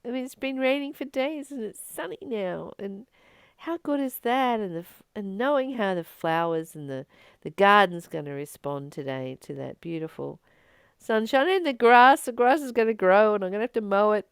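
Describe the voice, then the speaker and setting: A woman speaking as a spiritual teacher, reflecting informally on a reading in an earnest, expressive way with emphatic repetition. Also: medium pitch, moderate pace, smooth, dry recording. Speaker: spiritual teacher and channel host.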